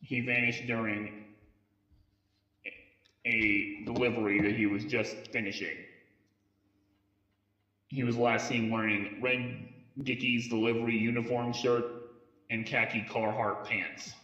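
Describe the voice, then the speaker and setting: A man reading a scripted police case briefing in a halting way, occasionally stumbling over words. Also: medium pitch, flat delivery, sharp tone. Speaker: narrator presenting a police case file. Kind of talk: scripted narration, mock police briefing tape in an analog horror story. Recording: muffled lo-fi tape sound, roomy echo.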